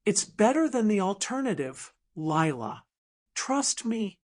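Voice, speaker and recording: Woman playing a polished, condescending businesswoman, lively pitch. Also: slow. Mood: sly, veiled threat. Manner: smooth, sugary, faintly condescending. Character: polished manipulative businesswoman, smoothly condescending, falsely gracious. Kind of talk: audiobook drama dialogue.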